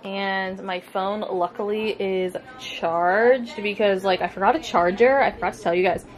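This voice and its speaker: A woman's voice: mid-range voice, fairly even intonation, moderate pace. A young woman in her twenties, chatting upbeat to a handheld camera as a vlogger, with lots of likes and ums. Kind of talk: casual vlog chat to camera. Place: hotel room, cafe and thrift store. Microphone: handheld camera mic.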